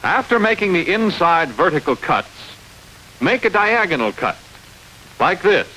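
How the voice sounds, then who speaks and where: Male narrator, instructional script, lively intonation, fairly high pitch, step-by-step delivery, old film soundtrack. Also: measured pace, a little hiss or hum, low-quality recording.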